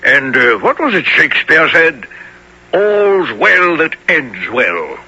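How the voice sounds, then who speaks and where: A man acting a genial, blustery captain in a 1970s radio adventure drama, with a raspy voice. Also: on the high side, sing-song, slow pace, background noise, heavily acted. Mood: hearty.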